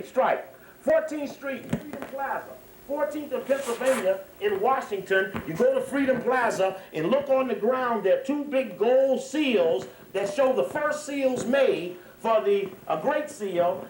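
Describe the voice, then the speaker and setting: A man's voice: high voice, a little hiss or hum. A man giving an extemporaneous lecture to a live audience, speaking in an emphatic, repetitive, digressive manner. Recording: echoey room, slightly distant microphone.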